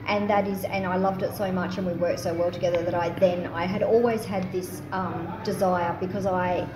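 A woman talks as a guest in a casual live interview, informally thinking aloud. Her voice is a bit low, and the room is echoey with clear noise. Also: steady tone, normal speed. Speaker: guest poet. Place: live in a taproom bar. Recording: echoey, noisy live venue recording.

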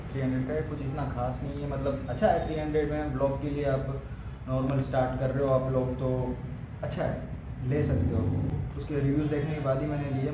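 A man, vlogging casually and informally, repeating himself and mixing in English words. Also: mid-range voice. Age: 20s. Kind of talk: casual product review to camera. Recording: echoey room with clear background noise.

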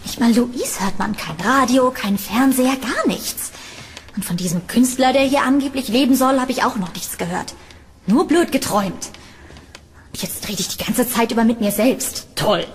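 A woman playing a curious, uneasy young tenant in a horror audio drama, with expressive delivery. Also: a little high, normal speed, a little hiss or hum, natural voice. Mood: curious, wary. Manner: expressive, talking to herself. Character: curious, uneasy young female tenant exploring a creepy house. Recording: music behind the voice.

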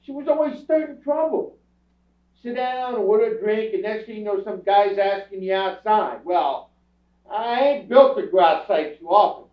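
Man acting a high-voiced, defensive down-and-out suspect. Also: lively intonation. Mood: griping. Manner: streetwise, ungrammatical, halting protests. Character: defensive, self-pitying down-and-out male suspect, boozy bum. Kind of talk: stage reading of a 1950s radio crime drama. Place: theatre stage before an audience. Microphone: far camera. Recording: roomy theatre stage from far off, muffled.

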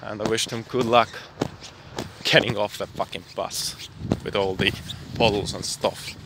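A man's voice: fairly low pitch, steady tone, measured pace, background noise. A young man from Estonia vlogging casually outdoors at night and from his car, rambling and self-deprecating, swearing now and then. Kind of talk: casual vlog chat.

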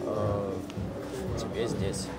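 A man in his thirties from Russia with a Moscow accent, chatting in a relaxed, rambling way with filler sounds as an interview guest in a café. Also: on the low side, very slow. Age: 30s. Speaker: interview guest, software engineer. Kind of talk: casual interview chat. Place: busy café, indoors. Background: loud music.

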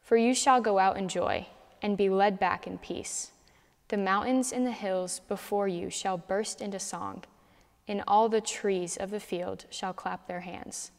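A young woman reads scripture at a lectern in a church sanctuary, at a measured and careful pace. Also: medium pitch, darkish tone. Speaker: scripture reader. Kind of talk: scripture reading. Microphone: camera at a distance. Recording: reverberant church from a distance.

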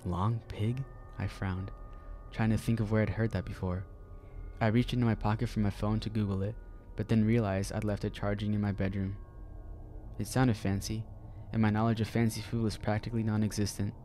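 Low, dark-voiced male narrator. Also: slight background noise. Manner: calm, measured storytelling. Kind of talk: scripted horror story narration.

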